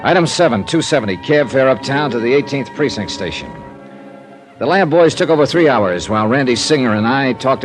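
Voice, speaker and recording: A man's voice: on the low side, natural voice. Guy playing hardboiled american investigator, old radio detective show, clipped and earnest, music in the recording. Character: tough, earnest male insurance investigator, narrating his case. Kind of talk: 1950s radio detective acting and narration.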